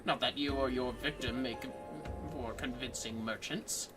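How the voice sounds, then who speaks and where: A man voicing a sharp, scolding inspector in a game, theatrical, accusing and exasperated. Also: mid-range voice, steady tone, a little slow, distant mic, music in the background, lightly acted. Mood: scornful. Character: sharp, scolding male inspector interrogating a suspect.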